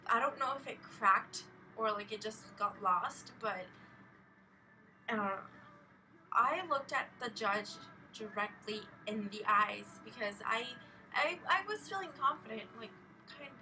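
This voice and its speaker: A young woman, chatting casually in a halting, rambling vlog full of "like" as a filler. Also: a little high, bright, forceful tone. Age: young adult. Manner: halting, filler-heavy with frequent "like". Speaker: vlogger. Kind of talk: casual rambling personal vlog, recounting an experience. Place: small bedroom at home, indoors. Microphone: webcam or laptop at arm's length. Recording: distant webcam mic, faint noise.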